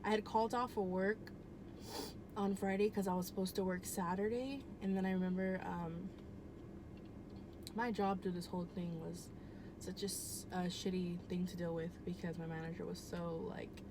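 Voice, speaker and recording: A woman's voice: on the low side, fairly even intonation, moderate pace. A young woman telling a personal story at home in a casual, rambling way, full of "um" and "like" and easy swearing. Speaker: vlogger. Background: air conditioner hum.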